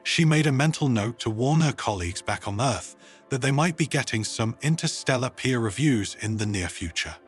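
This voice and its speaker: A man narrating a humorous science fiction story in an expressive, scripted storytelling style. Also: mid-range voice, normal speed, faint music behind.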